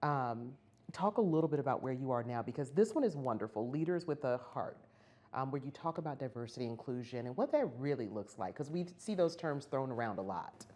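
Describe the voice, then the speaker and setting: Fast-talking woman TV interviewer. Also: rumbling low, lively intonation. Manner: warm, encouraging, quick questions. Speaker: TV news interviewer. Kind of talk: interview conversation.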